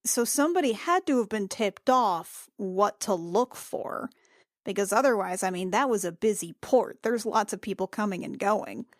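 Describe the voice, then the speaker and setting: A woman's voice: mid-range voice, expressive, normal speed, no room sound, studio quality, lightly acted. A woman playing a shaken, questioning female adventurer who speaks bluntly in a tabletop game. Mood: worried, reasoning.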